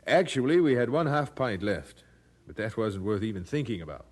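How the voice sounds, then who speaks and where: An actor playing a devout, courteous, resolute preacher in an audio drama, speaking formally and earnestly. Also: male voice, lowish voice, big pitch swings, normal speed.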